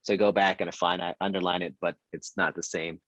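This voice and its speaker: A man's voice: deep voice, flat delivery, quick pace, a bit bright, no echo. A man talking hesitantly and eagerly in a casual group discussion, full of fillers and restarts. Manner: hesitant, many fillers, enthusiastic. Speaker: reading group participant. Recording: dull lo-fi dry sound.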